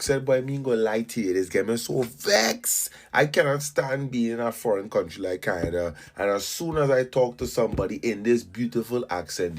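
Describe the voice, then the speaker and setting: Trinidadian man, mid-pitched, theatrical comic rant, playful and loud at times. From Trinidad and Tobago. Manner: animated, joking, theatrical. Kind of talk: comedic rant.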